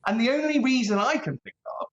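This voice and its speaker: A man's voice: extremely high pitch, animated, fast, a bit bright. A British man giving emphatic interview commentary as a guest, over an echoey, dull remote link.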